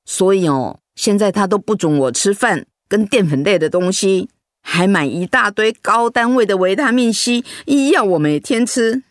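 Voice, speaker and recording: A woman's voice: mid-range voice, animated, normal speed, no room sound, clean studio sound, natural voice. An actress playing a stubborn woman of eighty in a radio drama, plaintive and insistent. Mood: chatty, persuading. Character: stubborn eighty-year-old mother refusing treatment. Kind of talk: Mandarin radio drama acting.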